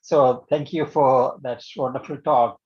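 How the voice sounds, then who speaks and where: Dull online meeting audio carries a man's medium-pitched voice. He gives feedback to a group, stopping and starting and circling back as he goes. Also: steady tone. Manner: halting, wordy, circling back.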